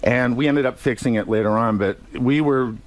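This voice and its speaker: A man telling casual anecdotes as an interview guest, hesitant and halting, with many ums and self-corrections. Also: medium pitch, poor audio. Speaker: radio show guest, TV comedy writer-producer.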